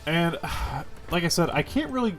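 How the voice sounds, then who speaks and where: A man's voice: fairly high pitch, big pitch swings, normal speed, fairly bright tone, soft background music. A man with a stuffy, congested voice giving casual, rambling impressions of a game as host of his gaming channel, full of fillers and the odd swear. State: congested from a cold.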